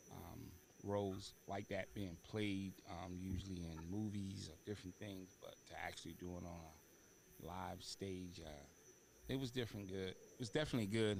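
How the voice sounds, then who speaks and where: A man's voice: low-pitched, vocal fry, darkish tone, slight background noise. A man hosting a talk show, chatting in an unscripted way. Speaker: talk show host and stage actor.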